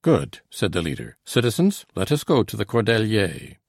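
Reader doing a blunt, suspicious patrol leader; low, gravelly, dry. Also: male voice, wildly swooping pitch, normal speed, a little mellow, no room sound, full character voice. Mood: gruff. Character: gruff male patrol sergeant, suspicious and blunt.

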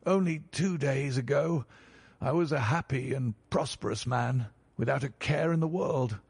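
Casting: man doing a frantic, grieving old banker, pleading and raised, gravelly edge. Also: mid-range voice, normal speed, darkish tone, dry recording. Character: distraught elderly male banker, frantic and pleading.

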